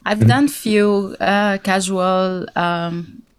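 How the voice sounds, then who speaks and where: A young woman from Syria, a band guest in an interview, who answers in a halting way with many fillers and restarts. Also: medium pitch, fairly even intonation, clean tone, no room sound.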